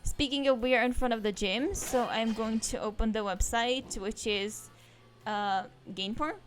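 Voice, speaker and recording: A woman's voice: on the high side, unhurried, faint music behind. Chatty woman streamer. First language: Czech. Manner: rambling and chatty, trails off into asides. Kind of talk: casual let's-play commentary.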